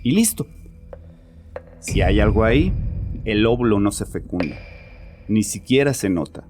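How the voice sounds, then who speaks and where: A bitter, self-justifying father confesses his troubles in a horror audio drama. His low voice is sardonic and defensive, with music behind it. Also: expressive, unhurried.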